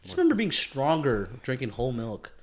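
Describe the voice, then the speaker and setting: A man's voice: mid-range voice, wildly swooping pitch, fairly quick. A man chatting casually as a podcast co-host, using bro-ish slang and swearing.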